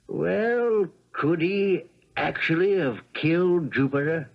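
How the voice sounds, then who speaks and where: Folksy, sly country judge, lively pitch. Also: male voice, slow pace, gravelly, dull audio, heavily acted. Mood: probing, folksy. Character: folksy small-town Southern judge, sly and probing. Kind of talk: 1970s radio drama acting. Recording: 1970s radio broadcast.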